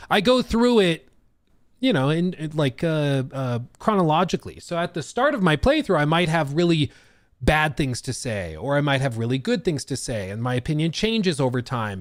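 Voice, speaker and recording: Lively, slightly wheezy male streamer. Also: medium pitch, no echo, clean studio sound. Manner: rambling, animated, lots of fillers and restarts. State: slightly wheezy and short of breath. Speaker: streaming host. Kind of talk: casual live-stream chat.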